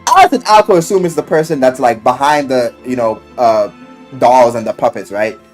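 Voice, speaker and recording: A man telling a story casually in slang and swearing, as an animated video game reviewer who acts out what people say. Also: medium pitch, moderate pace, faint music behind. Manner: animated storyteller, acting out quoted lines.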